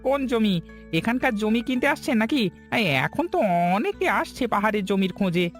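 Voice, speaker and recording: Male actor as kind, inquisitive cartoon villager: strikingly high pitch, plain-spoken, light music behind. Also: lively intonation, moderate pace. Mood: probing. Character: kind but probing hill villager, protective husband. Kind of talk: cartoon story dialogue.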